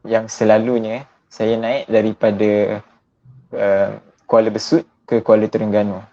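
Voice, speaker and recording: A young man in his twenties from Malaysia, a university student answering interview questions politely and in a measured way. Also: lowish voice, level tone, dark tone. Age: 20s. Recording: dull lo-fi sound.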